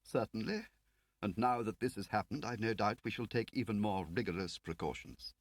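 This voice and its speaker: A man's voice: fairly low pitch, moderate pace, dry recording, pitched above the performer's own voice. A man voicing a haughty, pompous senior government official, in an audiobook. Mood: defensive. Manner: pompous, drawling emphasis. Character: haughty, fussy senior government official, upper-class Englishman.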